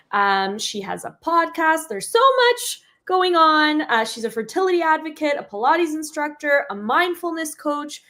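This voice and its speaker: A woman's voice: sits high, fairly bright tone. A woman hosting a live talk show, introducing her guest in an enthusiastic, effusive way. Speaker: host.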